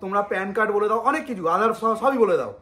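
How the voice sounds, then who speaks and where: A man's voice: high voice, racing, pushed and bright. A young man, talking casually as a vlogger. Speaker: vlogger, prankster son-in-law. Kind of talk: casual vlog chat.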